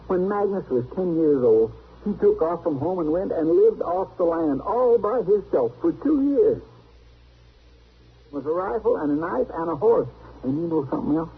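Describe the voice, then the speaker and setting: Folksy, anxious Southern sidekick, lightish pitch, noisy old-time radio western. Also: male voice, animated, moderate pace, phone-quality sound. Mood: exasperated. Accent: American South. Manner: folksy drawl, fretful, exasperated.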